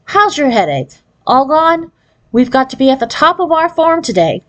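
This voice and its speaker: A woman's voice: high voice, very animated, moderate pace, voice pushed up. A woman reading a storybook as a bossy, pushy mother talking to her young daughter. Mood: brisk, probing.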